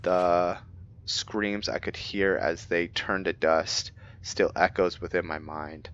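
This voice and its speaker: Very low-voiced man reading haltingly. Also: fairly even intonation, a bit bright, muffled recording. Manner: halting reading with frequent self-corrections. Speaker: gamer playing and narrating. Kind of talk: roleplay let's play, reading in-game journals aloud.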